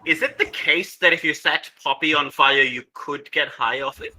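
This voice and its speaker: A man's voice: on the high side, normal speed, sharp tone, low-quality recording. A man chatting casually and playfully as a player in a tabletop role-playing game.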